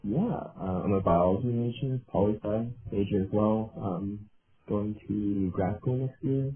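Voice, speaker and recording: A college senior in his twenties from the United States, introducing himself haltingly with many "um"s. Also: on the low side. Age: 20s. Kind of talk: interview self-introduction. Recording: tinny phone line with faint noise.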